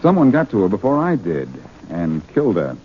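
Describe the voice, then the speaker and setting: An actor playing a wisecracking, cocky sleuth on the run in a 1940s radio detective drama, glib and sardonic. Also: male voice, on the low side, wildly swooping pitch. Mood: probing. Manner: glib, sardonic, quick with quips. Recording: muffled, faintly noisy 1940s radio recording.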